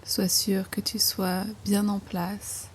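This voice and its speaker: A woman guiding a yoga nidra relaxation in slow instructions, with hushed, breathy phrase endings and an unhurried manner. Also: mid-range voice, little pitch movement, a little hiss or hum. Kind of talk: guided meditation, slow soothing instruction.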